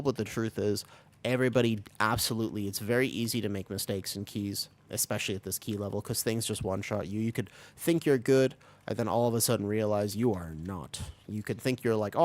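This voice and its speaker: A man streaming a video game live, chatting with viewers and explaining tactics while he plays, his voice still raspy from being sick. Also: on the low side. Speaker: streamer playing a tank. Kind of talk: live gameplay commentary.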